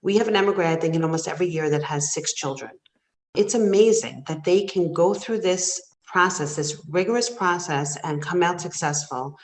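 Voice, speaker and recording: Low-voiced woman program director, echoey room. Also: moderate pace. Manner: warm and admiring, emphatic. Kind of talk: interview answer.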